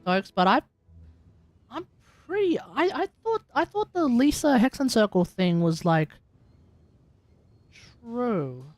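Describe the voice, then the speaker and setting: A woman's voice: a little high, very animated, slow pace, pauses often, darkish tone, no room sound. A woman hosting a livestream with casual game commentary, thinking aloud and correcting herself as she goes. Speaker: livestream host. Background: game music.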